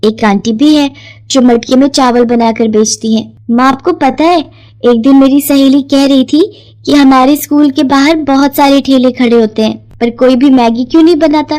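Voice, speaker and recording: A woman's voice: high-pitched, dark tone, voice pushed up, close to an everyday voice. A woman voicing an eager, caring teenage schoolgirl in an earnest storybook cartoon manner.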